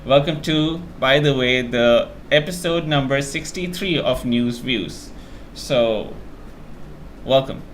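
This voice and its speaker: A man's voice: medium pitch, takes it slowly, slight background noise. A man hosting a casual interview show, talking in a rambling, self-deprecating way.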